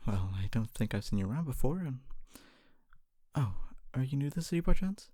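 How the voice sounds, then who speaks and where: A performer voicing a kindly, humble fantasy city guard in a soft-spoken ASMR roleplay, often whispering, with a gentle, hesitant and reassuring manner. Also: mid-range voice, big pitch swings, brisk, dry recording, studio quality. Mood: helpful, reassuring. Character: kindly, humble city guard, wannabe knight, calming and helpful.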